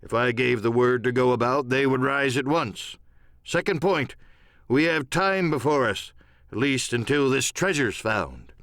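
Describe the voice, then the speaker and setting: A man's voice: mid-range voice, animated, unhurried, a bit bright, no echo, studio quality. Man acting a stern, commanding sea captain. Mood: grave, resolute. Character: stern, plain-spoken middle-aged male ship's captain. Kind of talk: audiobook radio-play character acting.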